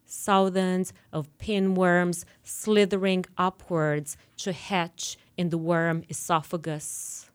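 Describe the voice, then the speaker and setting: A woman from Romania speaks English with a Romanian accent, her voice smooth and somewhat low. She talks with frequent pauses and restarts, then reads poetry slowly and deliberately. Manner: hesitant, restarts often when speaking freely; slow and deliberate when reading poems. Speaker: poet guest. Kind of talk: interview talk and poetry reading.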